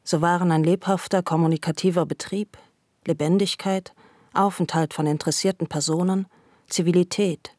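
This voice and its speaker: A woman's voice: lowish voice, fairly even intonation, normal speed, darkish tone, no room sound, clean studio sound. A woman reading scripted literary narration for a radio play.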